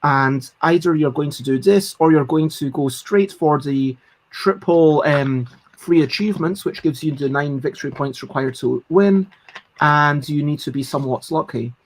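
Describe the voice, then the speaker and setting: A woman's voice: low voice, moderate pace. A woman hosting a casual solo livestream, chatting about board games in a rambling way with lots of ums and self-corrections. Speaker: livestream host.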